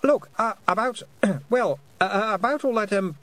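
A man plays an awkward storybook boy, nervous and apologetic. His voice sits high, and he hesitates, stammers and blurts his way along. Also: lively intonation, moderate pace, fairly bright tone, dry recording.

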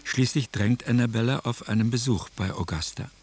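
A man giving factual, measured scripted historical narration as the narrator of a radio drama. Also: medium pitch, a little mellow, husky, soft background music.

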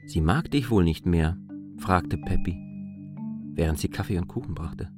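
A man with a lowish voice narrates scripted literary prose for a radio play. Loud music runs behind his words. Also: moderate pace. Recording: studio voice over loud music.

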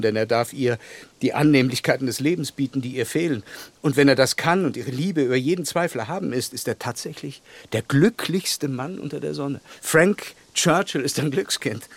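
An actor playing a reserved, upright and tender gentleman in a radio drama, speaking earnestly and haltingly. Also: medium pitch, no echo, lightly acted. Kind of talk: German radio drama acting of a classic novel.

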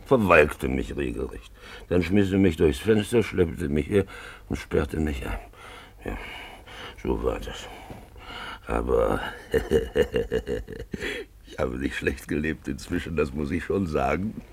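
An actor playing a boozy, jovial, lecherous gentleman in a 1950s German radio drama, gravelly and theatrical, going from grumbling mumbles to loud wheedling cries. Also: a bass voice, animated, slow, long pauses, heavily acted. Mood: amused, confiding. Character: boozy, roguish Russian gentleman found alive, jovial lecher.

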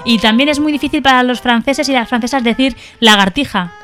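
Young woman on kids radio, high-ish bright voice, teasing and playful, drags words out in a studio. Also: a little fast, soft background music. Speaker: radio host for children.

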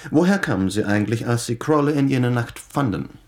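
A man's voice: medium pitch, expressive, a little fast, darkish tone, natural voice. An actor playing a young male newspaper reporter in a radio play, asking pointed, insistent questions. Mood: accusing, pressing. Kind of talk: German radio play acting.

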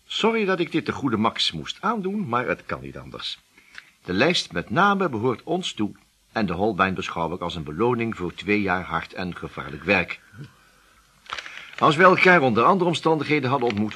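A shrewd, genial boss in a radio drama. His voice is bright and swings freely in pitch, with an easy self-assurance. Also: wildly swooping pitch, normal speed, a little hiss or hum, exaggerated voice. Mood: measured, reading.

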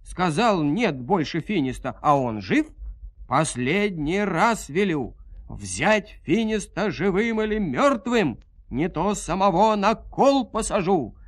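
Male storyteller as wicked sorcerer-king, high-pitched, loud, commanding, threatening, wildly swinging intonation, audio fairy tale. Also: big pitch swings, takes it slowly, heavily acted. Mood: furious. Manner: barking threats and orders. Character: raging villain sorcerer-king, bossy, threatening male tyrant.

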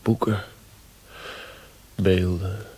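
An actor playing a distraught, dazed Western traveller in a radio play, speaking in halting, broken phrases. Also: male voice, sits low, flat delivery, mellow. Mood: brooding, stifled. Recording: noisy old radio play recording.

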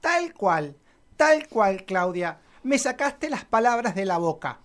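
A woman's voice: mid-range voice, big pitch swings, slow pace. A woman playing an easily awed, agreeable local councillor in a satirical radio play. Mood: agreeing eagerly. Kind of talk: satirical radio drama acting.

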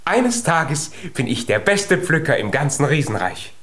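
A man's voice: on the high side, big pitch swings, unhurried, a bit bright. An actor playing a tiny, clever, stubborn young giant boy in a children's radio play, eager and insistent. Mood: determined.

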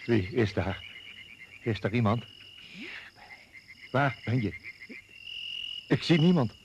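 A man's voice: mid-range voice, expressive, slow, creaky. An actor playing an uneasy man calling out alone in a radio play. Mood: alarmed. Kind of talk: Dutch radio play acting. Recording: noisy old radio play recording.

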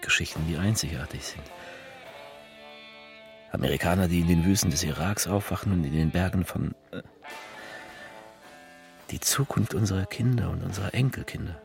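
An actor playing a political orator who delivers a bleak, defeatist speech in a theatrical radio play, declamatory and repetitive, with a husky voice. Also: male voice, sits low, faint music behind. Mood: weary, hushed. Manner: declamatory, repeating phrases with rhetorical pauses.

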